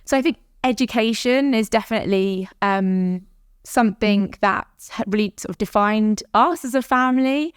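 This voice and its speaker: A woman in her thirties from South London with a London accent, a startup founder telling her story in a podcast interview, chatty and quick to laugh. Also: medium pitch, clear, resonant tone, dry recording, studio quality. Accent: London English.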